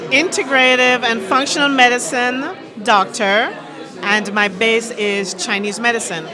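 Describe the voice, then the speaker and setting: A woman's voice: fairly high pitch, fairly even intonation, unhurried. A young adult woman from Jamaica with a Jamaican accent, a doctor chatting in an interview at a party and speaking with conviction. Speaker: guest, Chinese medicine doctor. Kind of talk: event interview chat. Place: busy indoor party. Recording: crowd noise of a busy party. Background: crowd chatter.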